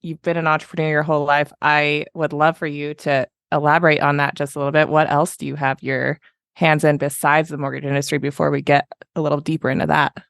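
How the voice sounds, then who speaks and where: A woman's voice: sits low, level tone, fast talker, gravelly, no echo, clean studio sound. A woman co-hosting a podcast interview, asking friendly follow-up questions. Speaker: podcast co-host.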